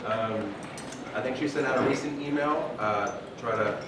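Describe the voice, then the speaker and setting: A man hosting a club meeting, giving casual announcements with many fillers and pauses. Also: medium pitch. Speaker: meeting host. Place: banquet room with dishes clinking. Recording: echoey room from far off, loud noise.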